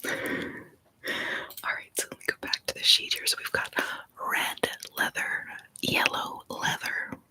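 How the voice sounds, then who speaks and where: A young adult from Texas whispering playful tongue twisters and small talk in an ASMR video, each word shaped crisply. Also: measured pace, long pauses, sharp tone, low-quality recording. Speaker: ASMR creator.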